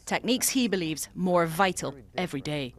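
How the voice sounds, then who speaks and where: A woman reporter delivering a scripted, measured news narration with a faintly wry edge. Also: lowish voice, animated.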